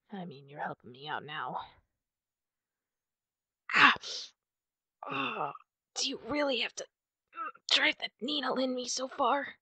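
A woman playing a wounded, guarded and prickly masked superheroine in an ASMR roleplay, half-whispering in a breathy, husky voice with halting, pained sighs. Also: mid-range voice, bright, forceful tone, no room sound, heavily acted. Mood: guarded, calm, then pained, strained. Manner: halting, sighing, pained delivery.

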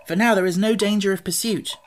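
A woman voicing a good-natured, sensible young boy in a storybook, brisk and soothing. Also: mid-range voice, fairly even intonation, a little hiss or hum, pitched above the performer's own voice, close to an everyday voice. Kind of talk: audiobook character voice.

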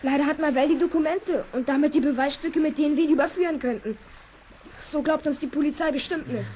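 An actress playing an anxious, excitable child adventurer, earnest and exclamatory, in a children's audio play. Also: female voice, high voice, quick pace, natural voice. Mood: excited. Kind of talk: 1970s German children's audio play acting. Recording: old audio drama, faint background noise.

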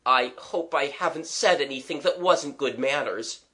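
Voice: mid-range voice, very animated, measured pace, fairly bright tone, voice pushed up. A reader voicing a flustered working-class young man, gasping, agitated and apologetic, in a storybook. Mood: bewildered, agitated.

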